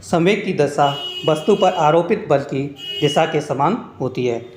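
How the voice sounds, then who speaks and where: Higher-pitched man teaching, echoey room. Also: lively intonation, measured pace, a little hiss or hum. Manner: instructional, reading out points one by one. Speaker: exam-prep teacher and video host. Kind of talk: scripted lesson narration with short explanations.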